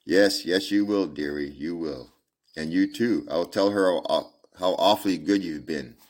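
A man reading the part of a weary frontier man, gentle with a child, in a folksy drawl. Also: low-pitched, measured pace. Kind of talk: amateur audiobook reading.

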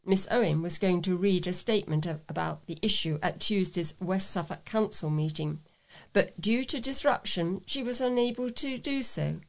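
A woman's voice: lowish voice, moderate pace, gravelly. Woman reading local news. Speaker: volunteer local newsreader.

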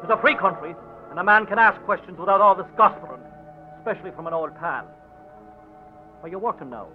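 A man's voice: high voice, fairly quick, faint music behind, natural voice. A man playing a sly wheedling Irish snoop. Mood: needling. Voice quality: wheedling, needling. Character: sly nosy little male Irish tout. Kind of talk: 1940s radio acting. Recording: muffled old radio broadcast.

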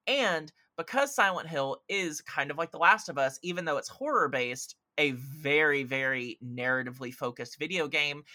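A man's voice: medium pitch, pushed and bright. A man chatting casually about films as a podcast guest, opinionated, emphatic and effusive, with rambling asides. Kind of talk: casual film chat.

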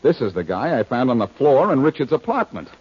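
A man's voice: mid-range voice, very animated, fairly quick, breathy edge. An American actor playing a confident, commanding, wisecracking male sleuth in 1940s radio detective style. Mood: brisk, intent. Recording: dull old radio recording.

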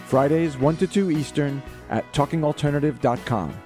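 A man's voice: a little high, moderate pace, over loud music. A man, a radio host, reading a scripted promotion for his own show.

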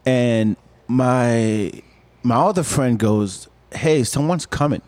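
A man's voice: medium pitch, slow pace, darkish tone, no echo. Rambling man from Senegal telling a story. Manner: rambling, repeats words, acts out dialogue. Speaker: guest storyteller. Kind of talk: casual spoken ghost story.

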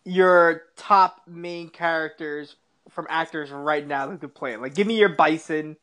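Higher-pitched man, casual podcast co-host. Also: steady tone, measured pace, sharp tone. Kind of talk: casual chat, prompting with questions.

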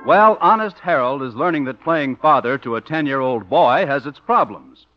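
A man's voice: fairly high pitch, lively intonation, moderate pace, faint music behind. A man with an American accent giving formal scripted announcements in a 1950s radio style. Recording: muffled vintage 1950s radio recording.

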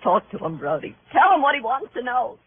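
An actress playing a tense young-sounding widow in a tavern scene of an old radio crime drama. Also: female voice, a little high, sing-song, a little fast, a little hiss or hum. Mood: pleading. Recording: dry, muffled vintage radio recording.

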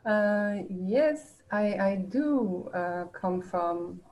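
A woman in her seventies from the Netherlands with a Dutch accent, recalling things in a halting way as a podcast guest. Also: medium pitch, expressive, a little mellow. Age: 70s. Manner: halting, with frequent restarts and light laughter. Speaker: guest artist. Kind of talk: casual podcast interview.

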